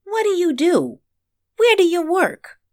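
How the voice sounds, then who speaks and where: A very animated, high-voiced child, blunt and suspicious. The words are drawn out slowly in a sneering, demanding way, as in an audiobook. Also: female voice, heavily acted.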